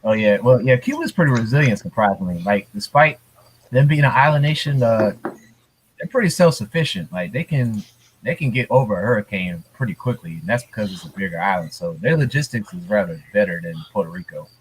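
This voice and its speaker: A man co-hosting a casual gaming podcast from home, talking in a relaxed, rambling way with lots of fillers. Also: fairly low pitch, a little fast, slight background noise, poor audio. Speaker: podcast co-host. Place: hurricane-prone US city.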